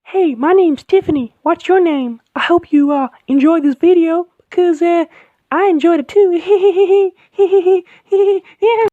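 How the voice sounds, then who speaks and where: A man in falsetto as a squeaky, chirpy girl. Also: slow pace, dry recording, narrow, tinny audio. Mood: giggly. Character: chirpy, giggly young woman.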